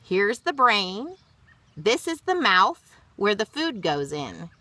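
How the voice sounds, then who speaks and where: Animated woman reading aloud to children. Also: medium pitch, slow, dry recording. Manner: expressive, explains simply to young listeners. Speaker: storyteller reading a picture book to children. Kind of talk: read-aloud with chatty asides.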